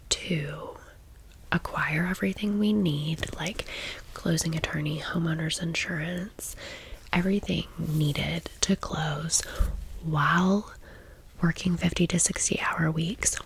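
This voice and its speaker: A woman in her thirties hosting ASMR, telling a personal story in a breathy, intimate, chatty whisper. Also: low-pitched, low-quality recording. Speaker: ASMR video host.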